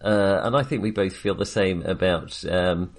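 A man's voice: low voice, normal speed. A man chatting casually as co-host of a film review podcast, speaking in a hesitant, self-qualifying way.

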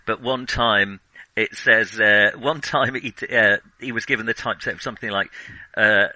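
Bright, dry-voiced man musing on film. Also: fairly low pitch, normal speed, dry recording, rough recording. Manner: hesitant, many ums and restarts. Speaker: podcast co-host. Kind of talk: casual film discussion.

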